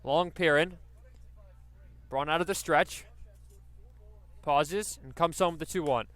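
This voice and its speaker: A man's voice: on the high side, takes it slowly, a bit bright, no echo. A man doing live radio baseball play-by-play, describing the action and working in stats as he goes. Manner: descriptive, stat-laden delivery.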